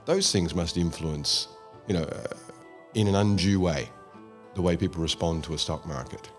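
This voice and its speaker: Low-voiced chatty male host. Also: lively intonation, normal speed, soft background music. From Australia. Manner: chatty, storytelling. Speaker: interview host. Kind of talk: conversational interview.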